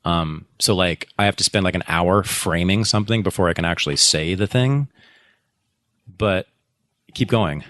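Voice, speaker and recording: A man's voice: low voice, moderate pace, fairly bright tone, dry recording. A man talking at length in a live podcast discussion, circling back, correcting himself and laughing now and then. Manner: rambling, self-correcting, laughs often. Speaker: podcast guest, teacher.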